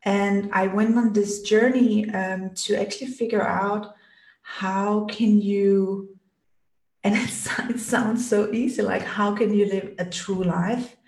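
A woman's voice: medium pitch, steady tone, slow, darkish tone. A woman from Denmark in her thirties, a podcast guest speaking casually with many fillers and restarts. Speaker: podcast guest, business strategist. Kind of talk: casual interview talk. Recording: echoey room, voice a bit far from mic.

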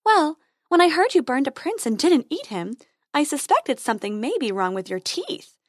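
A brisk, confident tooth fairy dentist, as a woman puts her on, high and chirpy, with lively leaps in pitch. Her voice is dry and close to the mic. She is an unflappable storybook heroine. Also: no room sound.

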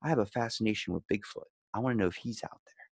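A man's voice: lowish voice, fast, slightly rough, no echo, studio quality. A man in a casual podcast chat, rambling as host and animatedly telling stories, acting out the lines he quotes.

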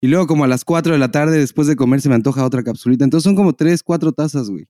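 A man's voice: on the high side, rapid-fire, warm tone, no echo, studio quality. A man with a Mexican accent co-hosting a podcast, chatting casually with plenty of slang and swearing. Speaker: podcast co-host. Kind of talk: casual chat.

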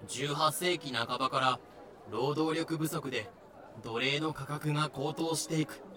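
A man narrating as a deadpan explainer in an audio drama, giving clipped, dictionary-like notes. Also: fairly high pitch, a little slow. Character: deadpan explainer of historical terms. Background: clear background music.

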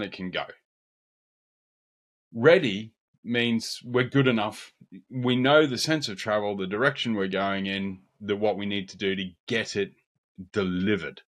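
A man from Australia with an Australian accent, co-hosting a podcast in casual discussion, direct and confident with quick bursts of speech. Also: fairly low pitch, a bit bright. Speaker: podcast co-host.

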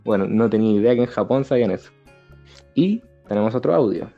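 A man's voice: fairly low pitch, expressive, fast. A man with a Chilean Spanish accent chatting casually as a co-host on a talk show. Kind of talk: casual chat. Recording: slightly dull lo-fi audio. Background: background music.

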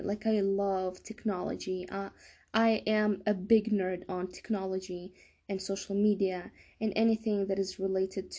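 A woman's voice: mid-range voice, mellow. A young woman talking casually in a solo podcast monologue, hesitant and often stumbling and rephrasing. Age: young adult. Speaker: podcast host.

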